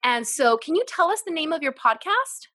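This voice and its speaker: A woman from California's Central Valley hosts a podcast, upbeat and encouraging as she asks her guest questions. Her voice sits a little high and rings bright and forward. The sound is dry and close. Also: big pitch swings, moderate pace, no room sound. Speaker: podcast host. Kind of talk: casual interview chat.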